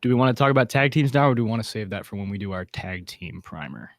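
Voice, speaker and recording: A man's voice: on the low side, expressive, quick pace, dry recording, studio quality. A man co-hosting a podcast in casual, slangy, enthusiastic panel chat. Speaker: podcast host.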